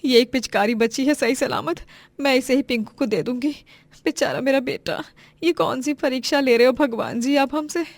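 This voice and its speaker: A woman's voice: sits high, moderate pace, no echo, pitched above the performer's own voice. A woman voicing a poor, devout, protective mother in a story, with an emotional, pleading manner. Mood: dejected.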